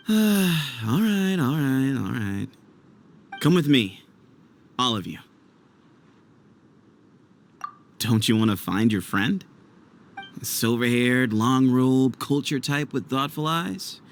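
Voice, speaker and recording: An actor playing a sly, cocky mercenary boss in a video game, with a teasing, theatrical drawl. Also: male voice, mid-range voice, expressive, takes it slowly, slight background noise. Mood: smug, amused.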